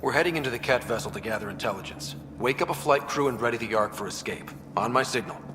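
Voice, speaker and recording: An actor playing a determined young human space explorer in a video game, brisk and earnest. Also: male voice, medium pitch, big-room sound, background noise, lightly acted. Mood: brisk, focused. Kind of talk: naturalistic video game acting.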